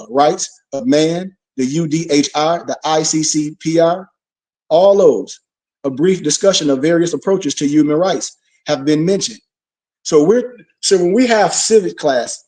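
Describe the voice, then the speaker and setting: A man's voice: a little high, a little mellow, recorded from a distance. A man giving an informal religious lecture, preachy, full of rhetorical questions and call-and-response. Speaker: religious teacher.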